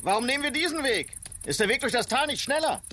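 A man's voice: very high-pitched, expressive, fast, background noise. An actor playing an eager, inquisitive young lawman in a western radio drama. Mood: puzzled, questioning. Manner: inquisitive, insistent.

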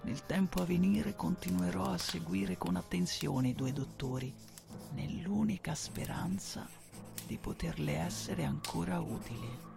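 Radio drama informant male actor: formal, obsequious letter-writer, heavy fry in the voice, prominent music bed. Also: mid-range voice. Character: servile sixteenth-century informant writing to his lord. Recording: music behind the voice.

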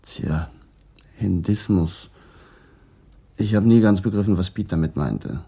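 A reflective middle-aged policeman in a radio crime play. His voice is mellow and husky with some creak, and he talks in a measured, guarded manner. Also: deep voice, heavily acted. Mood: thoughtful. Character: calm, reflective Papuan-Dutch traffic policeman being questioned. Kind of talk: German radio crime drama acting.

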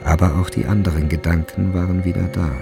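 A man narrating a literary radio drama in a measured, deliberate way. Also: low-pitched, level tone, creaky, over music.

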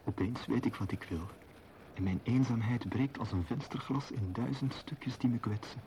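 An actor playing a tender, yearning lover, speaking intimately and earnestly in a lyrical radio play style. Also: male voice, mid-range voice, normal speed, dark tone, a little hiss or hum, lightly acted, low-quality recording. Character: tender, yearning male lover confessing himself. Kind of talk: poetic radio play dialogue.

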